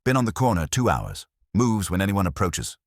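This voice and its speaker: A man's voice: deep voice, big pitch swings, normal speed, no echo, clean studio sound. A man voicing an alert, businesslike private operative in an audiobook, reporting in clipped, urgent phrases.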